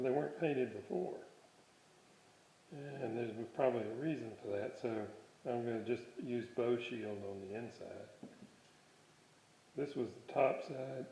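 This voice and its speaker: A man giving a casual hands-on workshop demonstration, halting and thinking aloud as he works. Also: mid-range voice, creaky. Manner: musing aloud, halting, thinking while working. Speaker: hobbyist presenter of a restoration video series. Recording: dull, roomy home workshop recording.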